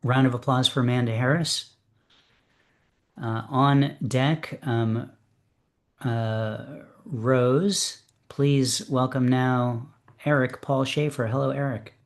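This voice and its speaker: Guy hosting an open mic over a video call, mid pitch, lots of uh and um, restarts his sentences. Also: fairly even intonation, takes it slowly. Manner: hesitant, full of ums and restarts. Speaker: host of an open poetry reading. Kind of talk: casual chat.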